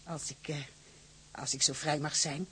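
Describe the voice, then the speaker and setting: A woman's voice: low-pitched, fairly even intonation, measured pace, bright, forceful tone, slightly rough, heavily acted. An actress playing a middle-aged widowed mother in a radio drama, garrulous, fussy and deferential, hesitating as she chatters. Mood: welcoming, fussing. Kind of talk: Dutch radio drama acting.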